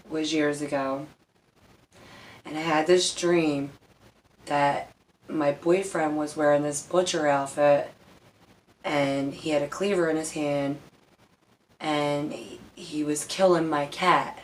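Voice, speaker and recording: A woman's voice: sits low, poor audio. A woman shares personal stories in a casual vlog style. She is candid and emphatic, rambles as she goes and swears freely. There is some noise behind her voice. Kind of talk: casual personal storytelling to camera.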